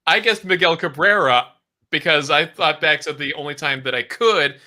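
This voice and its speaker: Very bright, sharp-voiced man in sports chat. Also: fairly high pitch, moderate pace. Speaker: co-commentator. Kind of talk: casual sports booth chat.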